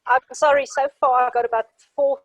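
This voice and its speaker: A woman chatting on a group call, animated and quick to laugh. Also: high-pitched, no echo. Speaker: group participant. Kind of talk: casual chat on a group call.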